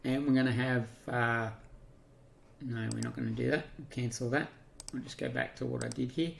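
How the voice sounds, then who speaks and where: An Australian man giving an unscripted software walkthrough, talking through each step haltingly as he thinks aloud. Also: medium pitch, steady tone, creaky, a little hiss or hum. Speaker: software tutorial presenter. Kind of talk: unscripted screen-share walkthrough.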